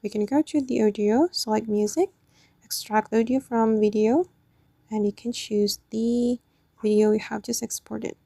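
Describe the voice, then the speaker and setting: A woman's voice: on the high side, steady tone, unhurried, gravelly, warm tone, slight background noise. A woman hosting a casual step-by-step app tutorial, explaining it off the cuff in a slightly hesitant way. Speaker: tutorial host.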